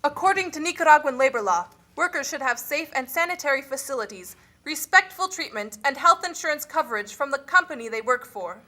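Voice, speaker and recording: Woman playing a bright, high-voiced puppet official. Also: expressive, fairly quick, hardly any pauses. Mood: matter-of-fact. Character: formal, matter-of-fact puppet official explaining rules. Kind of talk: scripted amateur puppet show delivery.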